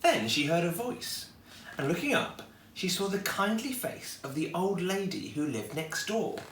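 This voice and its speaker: A man reading a children's story aloud in an expressive storytelling style. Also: high voice, unhurried, a little hiss or hum. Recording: echoey room, distant microphone.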